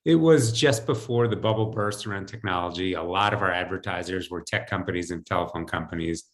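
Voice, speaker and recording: A man's voice: sits low, a little fast. A man in his fifties with an American accent, telling personal stories conversationally as an interview guest on a video call. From United States, New Jersey. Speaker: podcast guest, financial advisor. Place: home, indoors. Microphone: video call computer mic.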